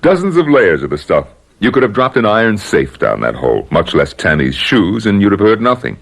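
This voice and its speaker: A man's voice: on the low side, very animated, a little fast, gravelly, exaggerated voice. An actor playing a commanding spy chief in a radio drama, assured and placating as he explains. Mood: reassuring, pleased. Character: male spy chief, smooth, commanding, in control.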